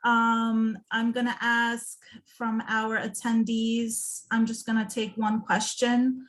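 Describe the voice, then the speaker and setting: A woman's voice: fairly high pitch, completely flat delivery. Chatty woman moderating a panel. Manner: chatty, quick asides. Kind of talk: casual panel chat.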